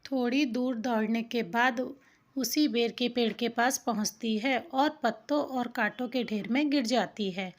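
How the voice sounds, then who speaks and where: A woman narrator telling a children's folk tale. Her voice is fairly high, and she reads the scripted story at a very slow, measured pace.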